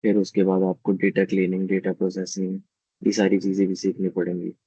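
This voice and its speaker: Male teacher, hoarse, very low gravelly voice, dry dull lo-fi sound, patient step-by-step explaining. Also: completely flat delivery, brisk, warm tone, no room sound. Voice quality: husky, gravelly. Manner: explains step by step, often checks with "ठीक है?". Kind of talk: live online class, answering student questions.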